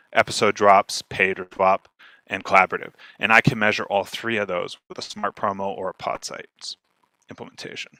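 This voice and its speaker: A man's voice: lowish voice, bright, forceful tone, no echo. A man giving an informal instructional presentation as a speaker, explaining things step by step and checking in with listeners as he goes. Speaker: speaker, podcast marketer giving a talk.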